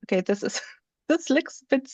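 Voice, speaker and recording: A woman presenting on a video call, slowly walking through a software feature while she shows her screen. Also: high-pitched, expressive, dry recording, dull audio. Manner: slow, explanatory, thinks aloud while showing her screen. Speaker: webinar presenter.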